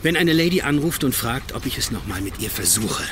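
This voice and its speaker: A male actor plays a weary, stubborn agent in an audio crime drama. He speaks bluntly in an ordinary middle register with sardonic dryness. Ambient noise is clearly audible behind him. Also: mid-range voice, big pitch swings, brisk, lightly acted. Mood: dry, resigned. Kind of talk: German radio crime drama acting.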